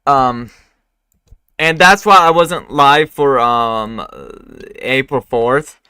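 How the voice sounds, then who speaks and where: Bright, clear-voiced man hosting a weather stream. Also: medium pitch, dry recording, clean studio sound. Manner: halting, many fillers and restarts. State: recovering from losing his voice. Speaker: weather livestream host. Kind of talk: casual live forecast discussion.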